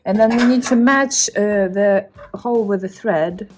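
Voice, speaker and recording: A woman's voice: mid-range voice, unhurried, over music. A woman with a foreign accent in English, chatting casually and playfully argumentative while working in a motorcycle workshop. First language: not English. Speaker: motorcycle builder and video host.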